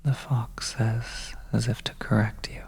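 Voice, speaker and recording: A person whispering a second-person horror story in ASMR style, breathy and deliberate, leaving long pauses between phrases. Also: mid-range voice, level tone. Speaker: audio roleplay storyteller. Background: oppressive ambience.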